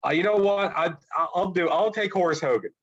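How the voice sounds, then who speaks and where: A man's voice: sits high, fairly quick, fairly bright tone, slightly rough, dull audio. A man co-hosting a casual wrestling podcast, stuffy from a cold and thoughtfully weighing his choices. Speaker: podcast co-host.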